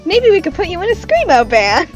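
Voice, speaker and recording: A woman's voice: very high-pitched, sing-song, brisk, highs cut off, soft background music, voice pushed up. A woman voicing a breezy, bossy teenage girl stage magician in a comedic game fandub, chirpy and teasing.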